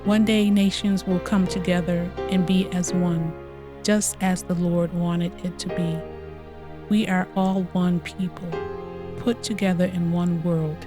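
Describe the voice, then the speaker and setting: A woman recites a poem very slowly and gently. Her voice is somewhat low for a woman. Soft new-age instrumental music plays clearly behind her. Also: fairly even intonation.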